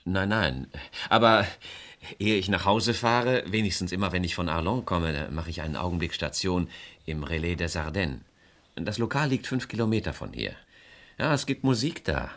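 A man's voice: deep voice, animated, moderate pace, fairly bright tone. An actor playing a troubled, defensive man under suspicion in a German radio crime drama, hesitant and agitated when pressed. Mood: reminiscing.

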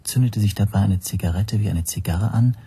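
An actor playing a watchful first-person narrator in a radio drama, with a breathy, husky voice. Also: male voice, fairly low pitch, fairly quick, warm tone. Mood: observant, tense.

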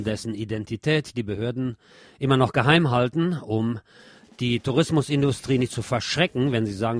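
A man presenting scripted news commentary as a radio-style narrator. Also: medium pitch.

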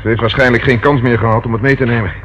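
An actor playing a resolute, level-headed police detective in a Dutch radio play, speaking in a clipped, commanding way. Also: male voice, mid-range voice, steady tone, rapid-fire, a bit bright, natural voice. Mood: brisk, businesslike. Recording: noisy old radio drama recording.

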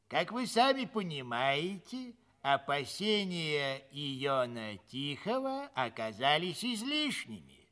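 Radio play announcer: slightly high, very animated intonation, genial mock-solemn presentation. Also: male voice, full character voice. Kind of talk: theatrical scripted radio narration.

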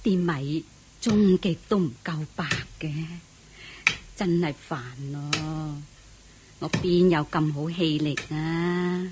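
Radio play: a worn-out old village granny, humble and plaintive, low and very unhurried. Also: female voice, steady tone, background noise. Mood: grumbling. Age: older. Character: poor, weary old peasant grandmother, widowed. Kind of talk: radio drama acting.

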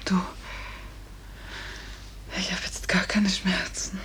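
An actress playing a gravely ill, dazed and apologetic woman patient, half-whispering in a 1950s radio drama. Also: medium pitch, level tone, takes it slowly, a bit bright. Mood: drowsy, apologetic.

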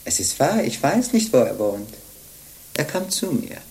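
An older actress playing a sly, jovial old bawd in a radio play, teasing and wheedling in a theatrical way. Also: female voice, rumbling low, big pitch swings, a little slow, mellow, heavily acted, rough recording. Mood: matter-of-fact, sly. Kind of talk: German radio play acting.

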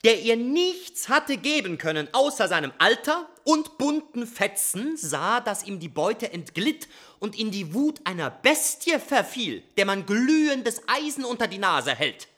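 A pompous prosecutor in a radio play. He declaims in a bright, piercing voice, with big swoops of emphasis. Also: high-pitched, big pitch swings, unhurried, full character voice. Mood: fierce. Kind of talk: German radio drama acting, courtroom oratory.

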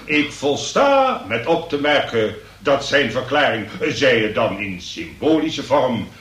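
A man's voice: mid-range voice, sing-song, slow pace, a bit bright. A man acting a pompous, long-winded examining magistrate in a comic radio play, speaking in ornate legal phrases. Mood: self-assured. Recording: noisy, echoey room, distant from mic.

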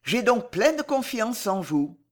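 A gentle noblewoman and mother, acted out by a female reader, speaks with solemn tenderness. Her formal, earnest delivery carries grief and dignity, in the manner of a dramatic historical audiobook. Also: medium pitch, big pitch swings, slow, pitched above the performer's own voice.

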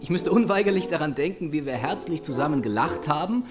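A male actor as a charming, persuasive gentleman in a period radio play. His fairly high voice is very lively and theatrical, flirting as he coaxes, with faint music underneath. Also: fast, lightly acted. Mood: coaxing, pleading. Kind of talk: German radio drama acting.

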